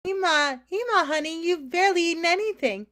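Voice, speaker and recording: A woman's voice: extremely high pitch, animated, a little fast, a bit bright. A woman playing an anxious, coaxing mother in a staged reading of a drama, fussing and placating. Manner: pleading, fussing.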